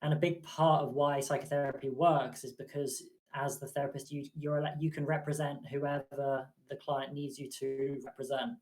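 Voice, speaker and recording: A man who is a psychotherapist, speaking in a measured, reflective way on a podcast. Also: medium pitch, low-quality recording. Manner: measured, thoughtful, even-toned. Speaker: psychotherapist and poker player.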